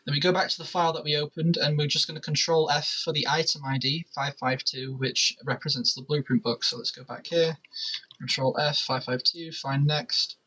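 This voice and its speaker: A man with a fairly high, bright voice presents a gaming tutorial. He talks casually and explains each step in turn, with a crisp edge to his tone. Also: steady tone, brisk, rough recording.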